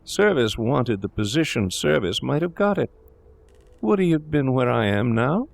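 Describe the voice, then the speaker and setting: A man's voice: medium pitch, big pitch swings, moderate pace, vocal fry, no room sound, voice pushed up. A man voicing a distraught, guilt-ridden young medical student in an audio drama, pleading and agitated. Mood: anguished. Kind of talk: dramatic audiobook character reading.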